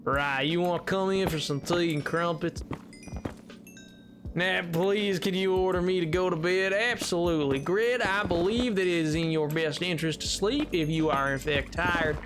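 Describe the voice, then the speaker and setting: An easygoing cowboy, acted out by a man with a fairly high-pitched voice and a drawling Southern US accent. He rambles politely and folksily, circling back over his phrases, with music clearly playing behind him. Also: moderate pace, lightly acted. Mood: folksy, friendly. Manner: folksy, rambling, repeats himself. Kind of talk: improvised livestream banter.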